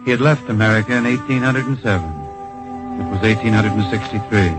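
A man serves as a solemn storyteller in old-time radio drama. His fairly deep voice is grave, measured and poetic, carried over prominent music. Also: natural voice, low-quality recording. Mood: stirring, patriotic. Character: solemn storyteller, compassionate and patriotic. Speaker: narrator.

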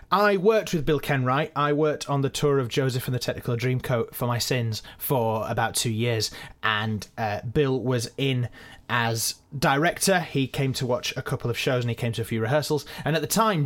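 Chatty man. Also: medium pitch. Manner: chatty, self-deprecating. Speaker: actor, editor and presenter. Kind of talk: casual behind-the-scenes narration with anecdotes.

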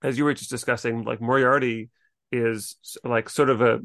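A man co-hosting a casual podcast about books, thinking aloud in a halting, conversational way. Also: mid-range voice, steady tone, fairly bright tone, dry recording, clean studio sound. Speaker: podcast co-host. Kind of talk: casual literary discussion.

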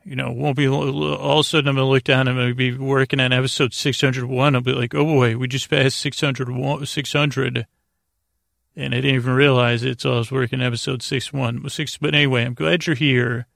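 A man hosting a bedtime podcast in a lulling, creaky voice, meandering along with halting pauses and repeated words. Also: medium pitch, flat delivery, fairly bright tone, no echo, clean studio sound. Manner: lulling, soothing. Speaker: podcast host. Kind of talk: rambling bedtime sleep podcast intro.

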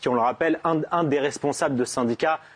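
A man's voice: on the high side, fast talker, slightly rough. A man in a political discussion, arguing his point with stammering restarts.

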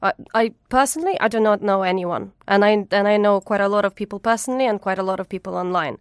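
A woman's voice: mid-range voice, fast talker, clean tone, no room sound, clean studio sound. A woman from Ukraine whose first language is Russian, a chef and food writer answering interview questions earnestly and with feeling, often restarting her sentences.

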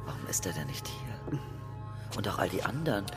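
Low, earnest mourner in reverberant space. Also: female voice, big pitch swings, brisk. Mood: puzzled, insistent. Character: grieving, insistent woman questioning a priest. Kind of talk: radio play acting. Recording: reverberant church sound with music.

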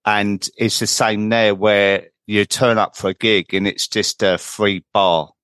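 Chatty, low-voiced male podcast host. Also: level tone, a little slow, fairly bright tone, smooth, dry recording. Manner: chatty and curious. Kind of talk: conversational interviewing.

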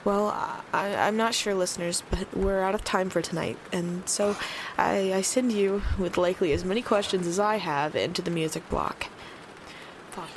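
A woman acting as a late-night radio host from a supernatural audio drama, mid-pitched and conversational. She is uneasy and wry, hesitating as she confides in listeners, over audible background noise. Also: normal speed, close to an everyday voice, poor audio. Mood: wry sign-off.

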